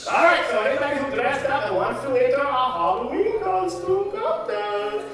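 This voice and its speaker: A man's voice: very high-pitched, animated, moderate pace. A man hosting a live costume contest on stage, bantering with the audience like a jovial showman. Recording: echoey hall from far off, music and crowd. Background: music, audience noise.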